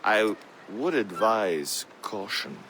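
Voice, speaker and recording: A bright-voiced sea captain for a historical video game. His delivery is polite and eager with an animated, swooping pitch, set over a light ambient noise. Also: takes it slowly. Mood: polite, measured. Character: courteous British naval captain and explorer, eager and deferential. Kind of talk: video game cutscene acting.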